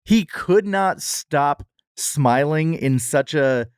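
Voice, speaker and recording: A man's voice: on the high side, animated, takes it slowly, smooth, no room sound, clean studio sound. A man co-hosting a podcast, giving a wry, descriptive review in casual, comedic conversation. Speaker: podcast co-host.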